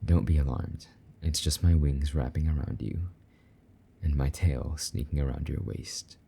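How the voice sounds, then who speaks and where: A man playing a possessive but tender, lonely demon lover in an intimate romantic audio roleplay, speaking softly and coaxingly in a husky voice. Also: steady tone, slight background noise, full character voice. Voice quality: very deep, dark, husky. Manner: soft, slow-burning, gently coaxing endearments.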